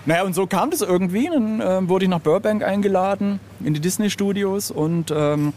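A man's voice: sits high, a little fast, darkish tone. Middle-aged man chatting over background noise. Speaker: interview guest, illustrator. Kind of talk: casual anecdotal chat. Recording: clearly noisy background ambience.

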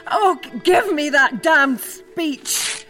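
An actress playing a politician's wife, nervous at first and then teasing him fondly. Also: female voice, sits high, animated, takes it slowly, bright, forceful tone, soft background music, full character voice.

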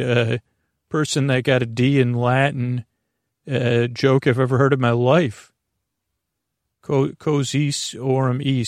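A man hosting a bedtime podcast with a creaky voice, meandering hesitantly through a soothing monologue and often losing his thread. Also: mid-range voice, no echo, clean studio sound. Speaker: host of a bedtime story podcast to help listeners sleep.

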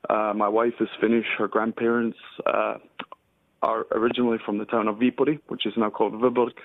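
A man with an Ayrshire Scottish accent calling in to a radio show, earnest and hesitant, pausing often. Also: fairly low pitch, little pitch movement, no echo. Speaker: radio caller. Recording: tinny dry phone line.

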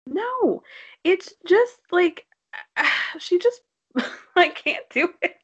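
A woman's voice: extremely high pitch, lively intonation, very slow, a bit bright, lo-fi sound. A young woman co-hosting a podcast, who talks bluntly and casually and laughs often. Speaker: podcast co-host. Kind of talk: casual chat.

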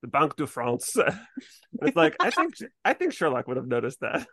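A man's voice: on the high side, wildly swooping pitch, normal speed, pushed and bright, no echo, studio quality. A man chatting casually as a podcast co-host, sprinkling his talk with "like". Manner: chatty, frequent "like" and hedging. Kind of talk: casual chat discussing a TV series.

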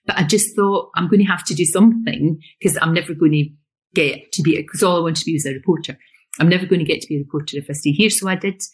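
A woman's voice: low-pitched, fast, slightly rough. A woman in her sixties with a Glasgow accent, a guest chatting casually and laughing often. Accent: Glaswegian. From Scotland. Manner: animated, laughing, exclamatory. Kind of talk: casual chat.

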